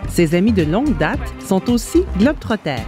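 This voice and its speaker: A woman's voice: mid-range voice, sing-song, moderate pace. Woman narrating a report over music. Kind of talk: scripted video report narration. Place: voice-over recording. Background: music bed.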